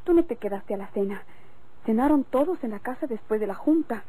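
Flustered, high-voiced servant woman. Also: lively intonation, fairly quick, warm tone, breathy edge. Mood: startled, evasive. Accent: Mexican. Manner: hesitant, flustered, stammering. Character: nervous, submissive young female servant caught in intrigue. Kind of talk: old Mexican radio drama acting.

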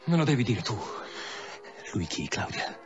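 A man's voice: mid-range voice, sing-song, measured pace. An actor playing a dogged, terse male police commissioner in a radio drama, speaking insistently. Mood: grimly insistent. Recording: muffled radio drama sound, music behind.

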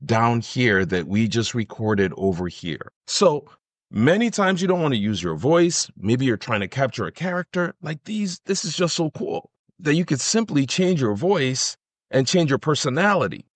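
A middle-aged man explaining software in an enthusiastic, conversational tutorial style. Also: medium pitch, no echo. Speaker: tutorial host.

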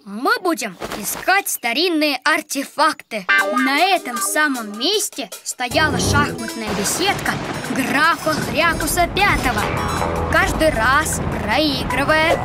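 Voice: high voice, lively intonation, slow pace. An actor voicing a keen, knowledgeable young wolf boy in a children's cartoon, like an enthusiastic little storyteller. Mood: keen, explaining. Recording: music behind the voice.

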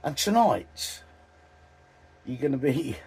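Husky, slow older man. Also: medium pitch, sing-song, darkish tone. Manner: halting, rambling. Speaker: vlogger. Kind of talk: casual chat to camera. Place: small dim vehicle interior.

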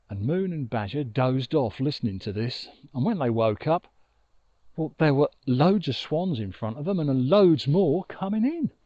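A middle-aged man telling a children's story expressively, with dramatic pauses, recorded outdoors on a lapel mic. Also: medium pitch, lively intonation, dark tone, dry recording, muffled recording. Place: outdoors on an open riverbank. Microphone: lapel mic with furry windshield.

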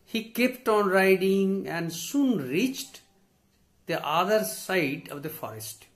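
An older man with an Indian English accent takes his time over a children's lesson text. His voice sits fairly high for a man and rises and falls freely. He phrases everything in short, deliberate pieces, as a teacher reading to students would. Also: expressive. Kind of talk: story read aloud and summarised for students. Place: small indoor study with bookshelves.

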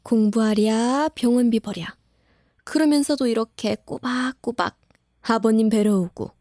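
A woman playing a kindly, encouraging hospital doctor in an audio drama, talking in a gentle, familiar, reassuring way. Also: a little high, a little slow, no room sound, clean studio sound, lightly acted. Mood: sympathetic. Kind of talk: Korean audio drama acting.

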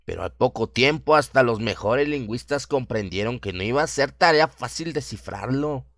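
A man's voice: mid-range voice, lively intonation, normal speed, fairly bright tone, dry recording, clean studio sound. A man reading a scripted horror story as its narrator. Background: music behind the voice.

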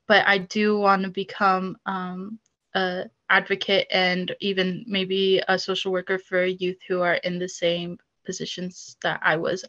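A young woman speaking casually on a video call from a small room at home, soft-spoken and hesitant with many pauses. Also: medium pitch, lo-fi sound. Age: young adult. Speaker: guest speaker. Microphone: laptop webcam mic on a video call.

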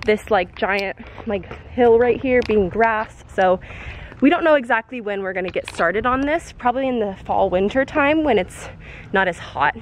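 A young woman in her twenties giving an excited house tour to a handheld camera, indoors and out in a backyard. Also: mid-range voice, moderate pace, a little hiss or hum. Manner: excited, gushing, talks to camera. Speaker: vlogger. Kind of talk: casual house tour vlog. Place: outdoors in a backyard and inside an empty house. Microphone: handheld camera at arm's length.

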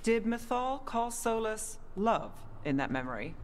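An actress playing a shrewd, inquisitive party leader in a video game, with brisk questioning delivery. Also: female voice, a little high, big pitch swings, a little hiss or hum. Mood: thoughtful.

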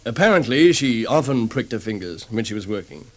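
A man playing a calm methodical detective. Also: medium pitch, lightly acted. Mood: measured. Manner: measured explaining. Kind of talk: vintage radio drama acting.

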